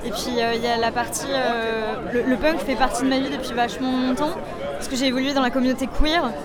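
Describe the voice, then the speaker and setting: A woman's voice: sits high, flat delivery, fast talker. A young woman from the south of France, a musician and DJ, answering interview questions in a chatty, hesitant way with many fillers. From Sète, south of France. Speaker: musician and DJ, guest. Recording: noisy crowd in background.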